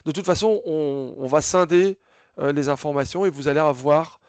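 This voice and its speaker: A man with a slightly higher voice and a gravelly, creaky edge, speaking over a video call. He hosts a casual briefing, circling back with fillers and restarted phrases. The sound is dry and close. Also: normal speed, a little mellow, no room sound. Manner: hesitant, many fillers and restarts. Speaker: association host of a video call. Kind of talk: casual spoken briefing, moderating questions.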